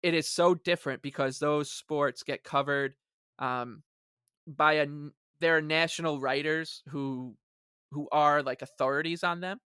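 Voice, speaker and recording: Higher-pitched, bright male sports podcaster, dry sound. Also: steady tone, unhurried, clean tone, no echo. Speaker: podcast co-host. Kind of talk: casual sports podcast chat.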